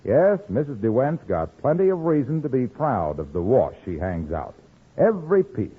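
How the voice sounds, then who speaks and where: A man's voice: medium pitch, sing-song, moderate pace, husky. An American man delivering a 1940s radio commercial as an emphatic, salesmanlike announcer. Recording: muffled old radio recording.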